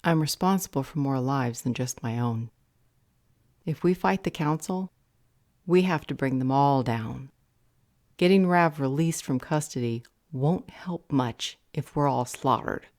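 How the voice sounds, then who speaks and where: A woman's voice: very deep voice, unhurried, a little mellow, no room sound, studio quality. A woman playing a guarded, resolute character in an audio roleplay, speaking in a firm, serious way.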